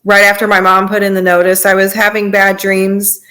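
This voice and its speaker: A woman's voice: mid-range voice, completely flat delivery, normal speed, sharp tone. A woman giving personal testimony as a podcast guest, in informal, conversational storytelling.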